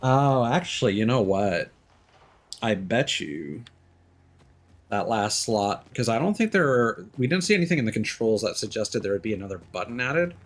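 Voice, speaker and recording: A man's voice: on the low side, big pitch swings, normal speed. A man streaming a video game, casually thinking aloud and hesitating with fillers as he plays. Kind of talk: casual gameplay commentary.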